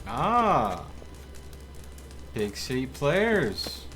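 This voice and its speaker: A man giving casual live gameplay commentary as a streamer, thinking aloud deliberately and addressing his viewers. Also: medium pitch, very animated, a bit bright. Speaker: video game livestreamer.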